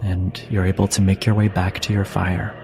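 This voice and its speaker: A man narrating a tabletop role-playing game as game master, in deliberate, broken-up phrases. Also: low voice, level tone, music in the background.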